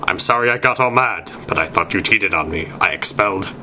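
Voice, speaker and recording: Man playing a glum, low-voiced goth boy. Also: a little fast, pushed and bright, a little hiss or hum. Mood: depressed. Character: sad, sulky teenage goth boy.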